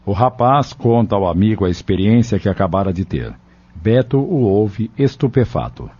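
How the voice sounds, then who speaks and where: A man's voice: fairly low pitch, lively intonation, normal speed, mellow, slightly rough. A man narrating a scripted audio novel as its storyteller. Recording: dull lo-fi audio with music behind.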